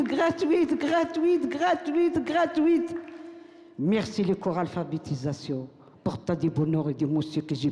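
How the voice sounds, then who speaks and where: A woman's voice: mid-range voice, warm tone. Older woman chanting in a hall. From Morocco. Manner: rhythmic, emphatic, repeats phrases like a chant. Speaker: poet reciting her own text. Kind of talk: spoken-word recitation to an audience.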